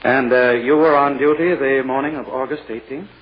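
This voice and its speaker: A man playing an insistent, self-satisfied prosecutor who questions in a halting, courtly, officious way, as in 1940s radio drama. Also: mid-range voice, steady tone, lightly acted. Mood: probing, controlled. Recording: dull, noisy old-time radio recording.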